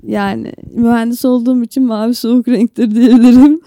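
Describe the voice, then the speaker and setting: A woman's voice: fairly high pitch, steady tone, fairly quick, warm tone, no echo, studio quality. A woman co-hosting a podcast in casual, joking conversation. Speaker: podcast co-host.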